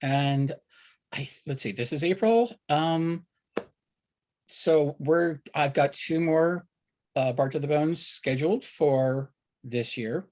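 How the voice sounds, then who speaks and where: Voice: mid-range voice, slow pace, a little mellow. Informal, conversational host voice. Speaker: host of an online event series. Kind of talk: casual hosting and announcements.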